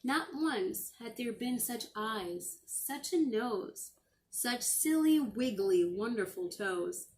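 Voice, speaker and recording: A middle-aged woman reading a picture book aloud to young children as a teacher, expressively and with deliberate, drawn-out phrasing. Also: fairly high pitch, lively intonation, a little mellow, rough recording.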